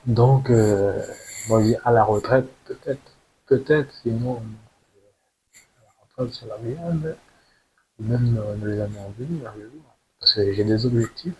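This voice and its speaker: A man's voice: fairly low pitch, measured pace, long pauses. A young man speaks off the cuff in an earnest personal monologue, hesitating and often repeating words as he goes. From French Guiana. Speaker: video creator telling his own story. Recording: steady hiss, noisy amateur recording.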